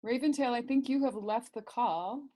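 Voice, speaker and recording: A woman instructor leading an online class in a casual, halting way, thinking aloud as she goes. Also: a little high, mellow. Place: online class video call. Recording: clearly noisy video call audio, clicks.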